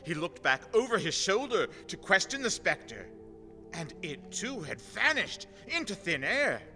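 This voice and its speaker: A man's voice: high-pitched, very animated, a little slow, pushed and bright. A man narrating a radio play in a dramatic, scripted storytelling style. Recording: long reverb with music underneath.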